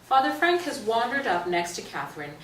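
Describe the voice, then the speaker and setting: A woman's voice: a little high, sing-song, brisk, fairly bright tone. A woman narrating stage directions as a scripted reading for a play. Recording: roomy, distant stage recording, faint noise.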